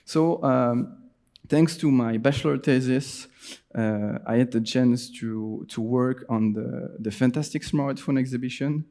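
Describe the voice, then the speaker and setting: A young man from Switzerland speaks English with a Swiss French accent, presenting at a conference. He pauses often, fills gaps with "uh," and restarts his sentences. His voice sits at a middle pitch with a mellow, dark tone. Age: 20s. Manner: hesitant, frequent fillers and restarts. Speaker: designer giving a conference talk. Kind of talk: presentation talk.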